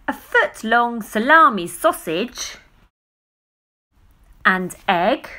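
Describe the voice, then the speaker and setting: A woman narrates a children's story in a bright, fairly high voice. She reads dramatically and emphatically, stretching out key words and taking her time. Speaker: storybook reader.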